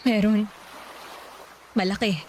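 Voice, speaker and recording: A woman's voice: mid-range voice, flat delivery, slow pace, darkish tone, slight background noise, close to an everyday voice. An actress playing a polite, worried young woman caring for her sick father. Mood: sad. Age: young adult. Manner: polite, pleading.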